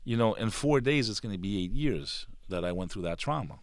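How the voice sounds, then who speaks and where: A man's voice: fairly low pitch, lively intonation, fairly quick, no echo. A man talking as a podcast guest in a studio, intense and emphatic, swearing freely in casual conversation. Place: studio with a green screen.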